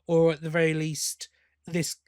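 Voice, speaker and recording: A man's voice: on the high side, completely flat delivery, a little slow, darkish tone, smooth, dry recording, clean studio sound. A man co-hosting a fan podcast, giving opinions in a casual, conversational review style. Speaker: podcast host.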